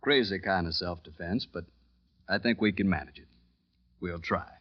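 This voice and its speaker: Radio-play police chief played by American man: very deep, unhurried authority, shrewd and matter-of-fact, muffled old broadcast. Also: natural voice. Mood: calm, reassuring. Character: calm, shrewd male police commissioner. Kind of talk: 1940s-50s radio acting. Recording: dull old radio recording.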